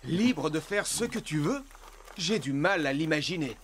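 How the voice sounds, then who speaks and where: Expressive young cartoon horse, longing. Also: male voice, a little high, unhurried, slight background noise. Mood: curious, yearning. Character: restless young male ranch horse longing for freedom. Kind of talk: animated series voice acting, French dub.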